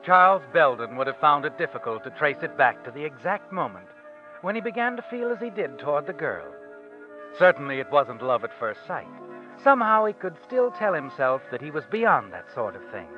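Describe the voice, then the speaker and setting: An actor playing a sinister, all-knowing narrator of crime tales in a 1940s radio suspense show, speaking in an ominous, deliberate, dramatic way. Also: male voice, a little high, big pitch swings. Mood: brooding, measured. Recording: dull vintage radio recording with music.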